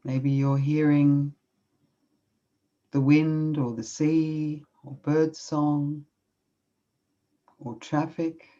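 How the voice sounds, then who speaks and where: A woman with an Australian accent, speaking from a video call as a guest speaker and leading a gentle, soothing guided meditation. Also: deep voice, level tone, extremely slow, long pauses, muffled recording.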